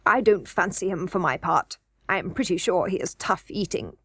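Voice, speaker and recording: A woman's voice: on the low side, lively intonation, moderate pace, a bit bright, slightly rough, dry recording, clean studio sound. A woman doing a grumbling goblin king. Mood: dismissive. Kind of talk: storybook reading.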